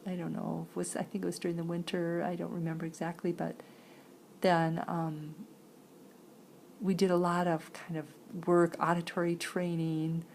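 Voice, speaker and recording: A woman telling a personal story conversationally, in halting phrases, with a creaky voice. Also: on the low side, a little mellow, a little hiss or hum. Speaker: sign-to-voice interpreter.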